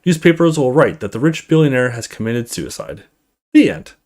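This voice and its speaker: A man's voice: medium pitch, lively intonation, moderate pace, darkish tone. A man hosting a gaming video, reading story text aloud expressively in a casual let's play style.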